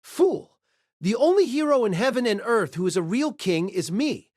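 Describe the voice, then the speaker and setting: An arrogant golden king, high and sneering. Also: very animated, moderate pace, no echo, clean studio sound. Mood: scornful. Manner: scornful, imperious, shouting insults. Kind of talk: audio drama acting.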